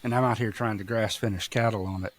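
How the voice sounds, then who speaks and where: A man's voice: lowish voice, fairly even intonation, quick pace. A man from Mississippi with a Southern accent, chatting casually as a farmer guest on a podcast, folksy and self-deprecating. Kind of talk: casual chat.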